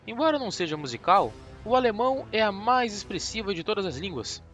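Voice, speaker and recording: A man playing a calm, ironic, self-assured detective in an audiobook. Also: high voice, brisk, soft background music, pitched above the performer's own voice. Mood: mocking. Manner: dry, mocking, self-assured.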